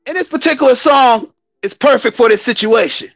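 An American man around fifty hosting a live stream as a comedic mock church testimony, with a theatrical, drawn-out, preacher-like delivery. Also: very high-pitched, fairly bright tone, no echo, soft background music. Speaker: YouTube live stream host.

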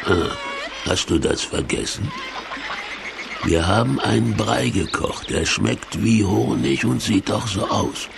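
A man's voice: lowish voice, slow pace, vocal fry, noisy background, full character voice. A man playing a villain's patient, nagging sidekick in a children's audio play, insistent and coaxing. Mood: reproachful, patient.

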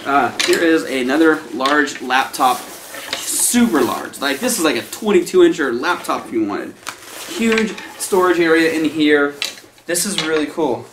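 Man, 30s, enthusiastic chatty reviewer, a bit high-pitched, echoey small room. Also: expressive, unhurried, background noise. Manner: enthusiastic, chatty, addresses viewers as guys. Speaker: product reviewer. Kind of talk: casual unboxing review. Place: small indoor room with a decorated Halloween backdrop.

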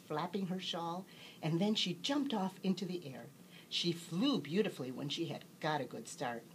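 A woman reading a children's bedtime story aloud as an expressive storyteller. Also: fairly low pitch, a little hiss or hum, low-quality recording.

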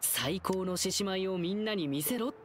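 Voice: mid-range voice, normal speed, background noise, lightly acted. An actor voicing an earnest, friendly, boyish lion-eared young dancer in a Japanese anime game. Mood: earnest, reflective. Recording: game background music under voice.

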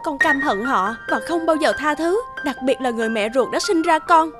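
High-pitched woman, animated drama, emotional, anguished, pleading, music behind. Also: normal speed. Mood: bitter.

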